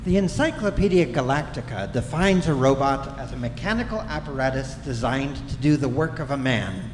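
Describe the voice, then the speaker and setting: A man playing an erudite guidebook narrator, delivering dry, measured scripted narration in a live radio play. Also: medium pitch, expressive, background noise, close to an everyday voice. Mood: wry, explanatory. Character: wry, erudite male narrator of a galactic guidebook. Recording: echoey hall with music behind.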